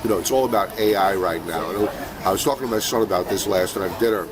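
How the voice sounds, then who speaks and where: Casting: lower-voiced middle-aged man with a head cold, chatty trading show host, city traffic clearly audible behind. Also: fast talker. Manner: conversational, many fillers and restarts. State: has a cold, sniffling. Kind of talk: casual market commentary.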